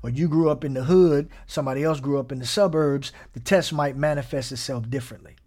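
A man's voice: medium pitch, quick pace, darkish tone. A man preaching a conversational sermon, often checking in with his listeners by asking "right?", now and then chuckling and dropping almost to a whisper. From Gary, Indiana.

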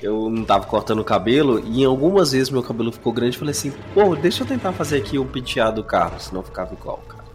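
A Brazilian man with a mid-pitched voice co-hosts a casual chat. He speaks haltingly, often restarting and rewording his thought, with music under his voice. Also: animated. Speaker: podcast co-host.

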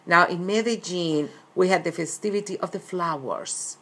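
A woman's voice: fairly low pitch, moderate pace, fairly bright tone, slightly rough. A middle-aged woman from Colombia, speaking earnestly and often repeating herself as an interviewed author. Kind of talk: recorded interview.

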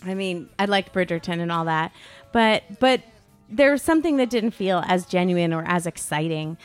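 A woman's voice: lowish voice, animated, normal speed, clean tone, faint music behind. A woman co-hosting a podcast in loose, casual conversation, often saying "like". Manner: conversational, peppered with "like". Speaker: podcast co-host. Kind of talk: casual chat.

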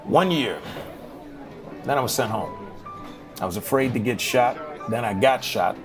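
A man's voice: mid-range voice, lively intonation, unhurried, natural voice. A man acting a friendly, courteous Civil War era bartender in a period drama, polite and inquisitive, with music underneath. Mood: earnest.

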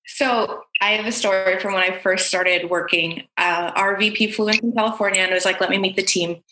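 A woman speaks as a podcast guest, upbeat and lively as she tells her stories. Her voice sits in a middle range. The room adds a noticeable echo. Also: medium pitch, brisk. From United States. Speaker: guest, tech worker and writer. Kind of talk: casual conversation. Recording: roomy echo.

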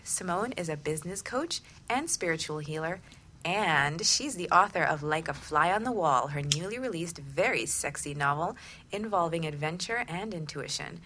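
Female radio co-host with a slightly low voice, presenting and interviewing on a talk show. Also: a bit bright, a little hiss or hum.

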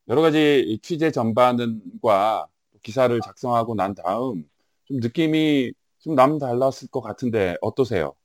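A man's voice: mid-range voice, darkish tone, no echo, clean studio sound. A man hosting a news interview, posing questions in a polite, formal style. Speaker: news show host.

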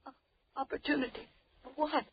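A dull, noisy vintage radio recording holds a scared kidnapped woman. Her voice is extremely high and tearful, pleading protectively in the manner of 1930s horror radio. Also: steady tone, fairly quick. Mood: alarmed.

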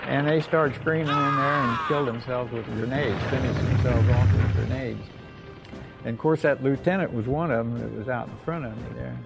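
An older American man, a war veteran, telling his memories informally in a folksy, halting way with repeated phrases. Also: mid-range voice, gravelly, over loud music. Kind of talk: informal oral-history storytelling.

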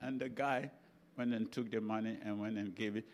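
A man's voice: lowish voice, steady tone, normal speed. An older man with a Ghanaian accent, telling a chatty anecdote in a sermon as a preacher. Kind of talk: sermon storytelling.

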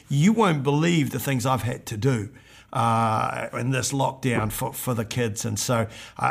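An older male pastor preaching a sermon in a hoarse, croaky voice, reading scripture earnestly and with emphasis. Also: medium pitch, fairly bright tone.

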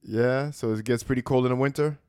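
A man's voice: medium pitch, steady tone, a little fast, darkish tone, smooth, dry recording, studio quality. A man hosting a podcast, interviewing casually with frequent repeated affirmations. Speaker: podcast host.

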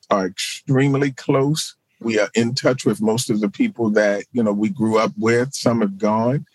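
Measured middle-aged man, low dry voice. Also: breathy edge, dry recording, low-quality recording. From Baltimore. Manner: reflective, measured, with fillers and restarts. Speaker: pastor and social work professor. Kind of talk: interview guest.

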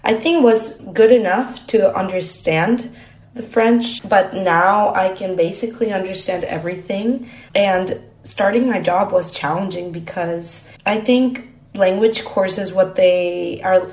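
A woman's voice: mid-range voice, measured pace, darkish tone. Woman, first language Spanish, reflective interview guest, roomy echoey sound. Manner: reflective, laughs easily. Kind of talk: casual interview answers. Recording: roomy echo.

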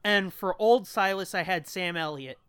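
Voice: medium pitch, normal speed, fairly bright tone, clean tone, dry recording. A podcast co-host chatting casually and swearing freely. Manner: profane. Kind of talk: casual chat.

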